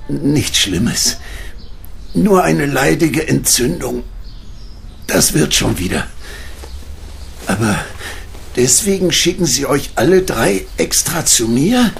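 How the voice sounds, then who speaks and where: An actor playing an elderly, ill coin dealer in a radio play, talking tiredly. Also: male voice, on the high side, lively intonation, takes it slowly, creaky, long pauses, a little hiss or hum, exaggerated voice. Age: older.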